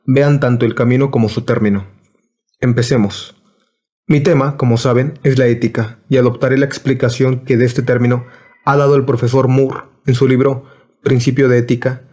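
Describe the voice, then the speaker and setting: A man reads a philosophy lecture aloud in an even, formal way. His delivery is measured and deliberate, like a scripted recording. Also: medium pitch.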